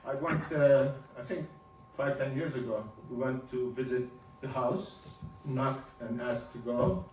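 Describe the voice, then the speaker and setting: A man in his seventies, a native Ladino speaker from Turkey, giving an informal talk over slides, halting and restarting with small chuckles. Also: medium pitch, steady tone, darkish tone. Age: 70s. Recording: roomy, distant, faint hum.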